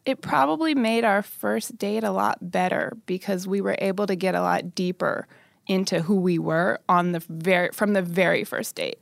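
A woman guest shares personal memories in a casual, conversational way. Her voice is mid-pitched with noticeable vocal fry, recorded very dry in a studio. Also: normal speed, dry recording. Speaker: interview guest.

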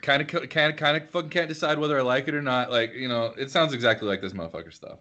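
A man's voice: medium pitch, very fast, pushed and bright, muffled recording. A man in casual chat, joking around and swearing often. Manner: profane, joking banter.

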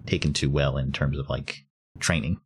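A man's voice: very deep voice, fairly even intonation, moderate pace, slight background noise. A man playing a tabletop game, explaining his character's choices hesitantly and searching for words.

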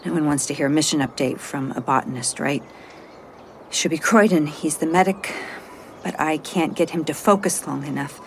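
A woman's voice: low voice, slight background noise. An actress playing an anxious, flustered botanist on a space mission, hesitant and self-doubting as she gives a report in an audio drama. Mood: anxious, unsure. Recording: moody music score underneath.

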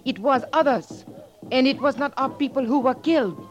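A woman's voice: sits high, natural voice. An actress as a solemn, defiant young Sioux woman. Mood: earnest. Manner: solemn, halting broken English. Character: defiant young Sioux chief's daughter pleading for peace. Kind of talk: 1950s radio drama acting. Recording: dull old radio recording, music behind.

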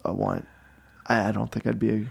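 A man with a booming voice hosting a casual chat, asking questions in a jovial, animated way. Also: brisk, vocal fry, breathy edge. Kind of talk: casual conversation.

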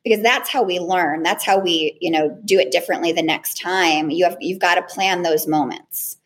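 Lady lawyer, tiny girlish voice, laid back, lots of ums. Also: normal speed. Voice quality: small, high, girlish voice. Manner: relaxed, frequent ums and restarts. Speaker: trial lawyer and cross-examination trainer. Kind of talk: podcast interview answers.

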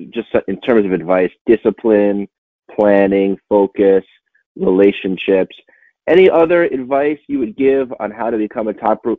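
A man's voice: fairly low pitch, dry recording. A man hosting a podcast, asking questions and closing the interview in a friendly, hesitant way. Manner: friendly, hesitant with many uh fillers. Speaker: podcast host. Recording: dry, tinny phone-like line.

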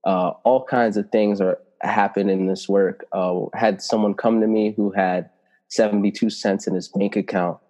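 Low warm-sounding guy, italian first language, earnest slow spiritual storytelling, lots of hall echo. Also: fairly even intonation. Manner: reflective, flowing, slows to stress key words. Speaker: spiritual teacher and healer.

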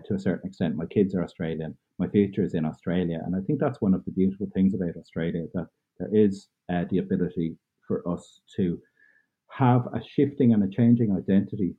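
Man, from Ireland, podcast guest, earnest and reflective, rough breathy voice. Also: deep voice. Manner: earnest, thoughtful, loose phrasing with many fillers. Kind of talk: reflective interview answers. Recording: tinny phone-like line.

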